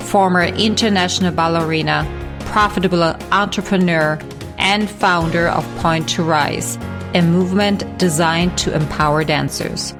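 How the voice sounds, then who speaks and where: A woman, a podcast host and coach, reading a scripted introduction in a smooth, read-out manner. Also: lowish voice. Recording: voice over music.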